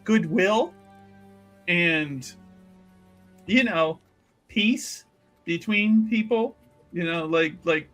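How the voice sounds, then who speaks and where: Male roleplayer, slightly high register, casual and talkative, loose rambling improvisation. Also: extremely slow, pauses often, fairly bright tone, soft background music. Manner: rambling, chatty. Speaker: tabletop game player.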